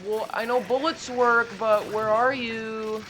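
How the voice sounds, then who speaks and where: A man's voice: high voice, level tone, slow, bright, forceful tone, a little hiss or hum. A man giving casual, off-the-cuff commentary while playing a video game. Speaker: gamer commentating on a playthrough. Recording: game music behind commentary.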